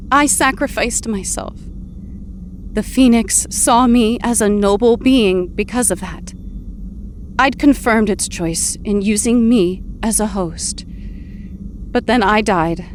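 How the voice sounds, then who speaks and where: A woman acting an earnest, confused heroine back from death in an audio drama, pleading and halting in manner. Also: medium pitch, slight background noise, natural voice.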